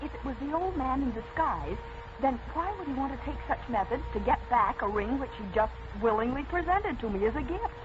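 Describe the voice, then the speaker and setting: A woman's voice: high-pitched, expressive, fairly quick. An actress playing a curious, eager young woman, the hero's companion, in 1940s radio style. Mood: puzzled. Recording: muffled, noisy old radio broadcast.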